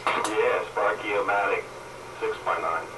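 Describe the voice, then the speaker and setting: A man's voice: fairly low pitch, steady tone, slow pace, sharp tone. A man chatting casually over two-way radio as host of an on-air roundup. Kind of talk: casual ham and CB radio chat. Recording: noisy, echoey CB radio transmission, distant.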